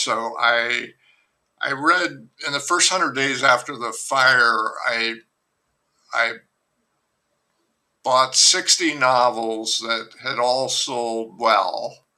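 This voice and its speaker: A man speaking slowly in a gravelly, very bright voice. He talks as an author in a casual interview, hesitant and rambling, often restarting his thoughts. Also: mid-range voice, poor audio. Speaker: novelist guest.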